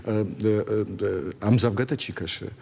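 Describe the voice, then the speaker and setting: Halting, husky Ossetian-accented man. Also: on the low side, a little mellow. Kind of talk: unscripted studio interview talk. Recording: echoey room.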